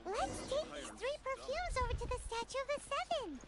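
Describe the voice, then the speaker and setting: A woman's voice: very high-pitched, lively intonation, brisk. A woman voicing a tiny, perky, childlike fairy companion in a video game. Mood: perky, eager. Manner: perky, refers to self by name. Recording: game audio under loud music. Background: loud game music.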